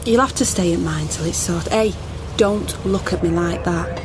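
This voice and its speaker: An actress with a British accent playing a bossy but caring friend in a naturalistic radio drama, blunt and coaxing. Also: female voice, on the low side, normal speed, noisy background, lightly acted. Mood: concerned. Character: bossy, caring woman friend, briskly practical and teasing.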